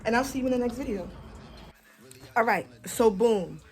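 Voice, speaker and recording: Highish-voiced young New woman telling stories. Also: moderate pace, slight background noise. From United States. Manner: emphatic, dramatic, profane, repeats phrases for effect. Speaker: vlogger. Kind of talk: animated casual storytime, slangy.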